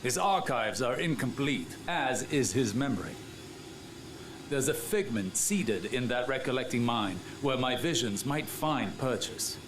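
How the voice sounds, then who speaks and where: A man playing a haunted, watchful strategist in a game cutscene, speaking gravely and deliberately in poetic monologue. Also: medium pitch, lively intonation, noisy background, lightly acted. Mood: brooding. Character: brooding male seer and strategist, haunted, watchful.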